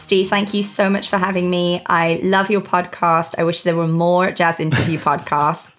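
A woman, a guest chatting in a lively, teasing way. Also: fairly low pitch, moderate pace, faint music behind. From South Africa. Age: 30s. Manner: lively, laughs easily, teasing. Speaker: guest musician. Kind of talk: casual interview chat. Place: home in Toronto. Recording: dull lo-fi remote audio.

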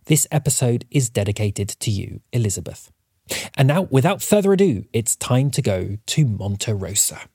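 A man's voice: medium pitch, big pitch swings, moderate pace, warm tone, breathy edge, dry recording, studio quality. A man hosting a podcast, reading a scripted introduction. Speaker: podcast host.

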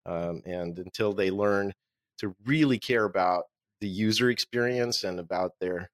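A man in his forties, born in Russia with Russian as his first language, answering interview questions as an author in a relaxed, joking way. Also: low-pitched, unhurried, no room sound. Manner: relaxed, joking, laughs at own quips. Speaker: author and marketing agency owner.

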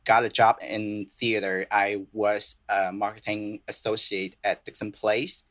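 Chinese-accented young man, halting and earnest. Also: fairly low pitch, little pitch movement, fairly bright tone. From southern China. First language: Cantonese and Mandarin. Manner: earnest, halting with many restarts and fillers. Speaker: playwright and composer guest. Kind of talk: casual podcast interview.

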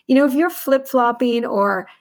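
A woman's voice: high voice, moderate pace. A woman speaking as an interview guest, a finance executive who explains her work in articulate detail with business jargon. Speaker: chief financial officer, podcast guest.

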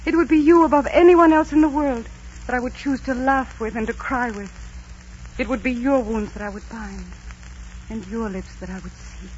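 A woman's voice: on the high side, normal speed, a little mellow, slight background noise, natural voice. An actress playing a devout, tender young Aztec princess resigned to her fate, in earnest, formal 1940s radio romance style. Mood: tender, sorrowful. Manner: earnest, melodramatic, formal diction. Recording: dull 1940s radio recording, music behind.

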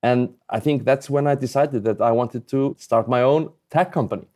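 Man, from Iceland, mellow tone, medium pitch, candid, casual interview guest. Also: normal speed. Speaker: guest, mobile game entrepreneur. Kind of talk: casual conversational interview talk.

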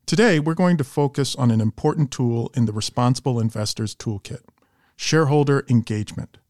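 Polished guy hosting a podcast interview, mid pitch, very dry recording. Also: a little fast, a little mellow, no room sound. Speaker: podcast host and investment strategist. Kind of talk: podcast interview, introducing and questioning a guest.

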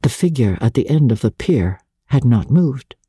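Female literary narrator, husky and dark-toned, measured and theatrical, with deliberate pauses. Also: very deep voice, expressive, no room sound, clean studio sound. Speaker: narrator, audiobook reader.

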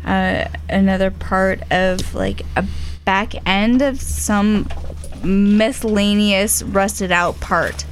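A woman on a podcast panel, chatting casually in a halting way. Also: medium pitch, fairly even intonation. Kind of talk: casual chat. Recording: noticeable background noise.

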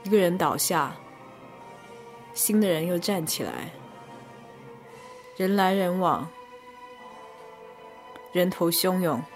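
A woman narrating a scripted first-person arts review in measured, descriptive short phrases. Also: on the low side, expressive, soft background music. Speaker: podcast host and reviewer.

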